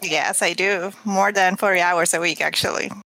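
A woman's voice: fairly low pitch, steady tone, normal speed, gravelly, pushed and bright, slight background noise, rough recording. A woman from Puerto Rico, a podcast guest giving short, earnest answers in casual interview chat.

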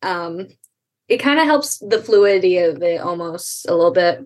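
A young American woman, a podcast guest, talking thoughtfully with frequent ums and pauses. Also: lowish voice, animated. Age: young adult. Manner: thoughtful, hesitant, many ums. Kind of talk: casual interview.

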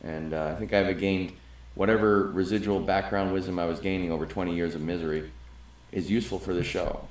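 Middle-aged male co-host, low-ish, earnest and rambling, echoey room. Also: fairly even intonation, brisk, a little hiss or hum. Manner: rambling and earnest, with frequent restarts. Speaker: podcast co-host. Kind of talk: casual conversation. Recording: roomy, echoey sound.

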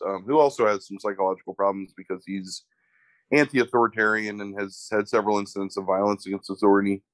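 A young man, congested, describing a movie in a casual, halting way full of fillers. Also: on the low side, fairly bright tone, dry recording, low-quality recording. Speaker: movie podcast co-host. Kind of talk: casual film review chat.

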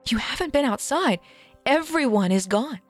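A woman voicing a young fanged woman, distraught and pleading in a high, strained voice. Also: animated, moderate pace, no room sound, faint music behind.

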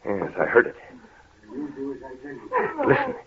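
An actor playing a resolute gentleman investigator in a 1930s radio mystery, brisk and commanding. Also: on the low side, huge pitch swings, a loud crowd, full character voice. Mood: determined. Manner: brisk, commanding, self-assured. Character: resolute, fearless gentleman investigator leading his servant. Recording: muffled, noisy 1937 radio recording.

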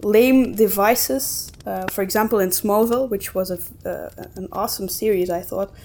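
Casting: female podcast co-host, mid-pitched, opinionated conversational delivery, some background noise. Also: normal speed, darkish tone. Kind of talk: casual discussion of storytelling.

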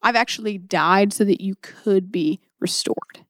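A woman's voice: mid-range voice, a little slow, no echo. Earnest woman in a close, dry recording. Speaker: co-host of a book discussion series.

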